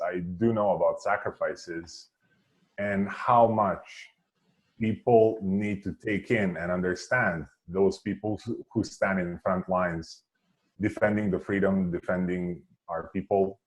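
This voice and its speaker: A man's voice: deep voice, low-quality recording. A man with a Serbian accent giving an earnest impromptu speech. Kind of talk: impromptu public speaking.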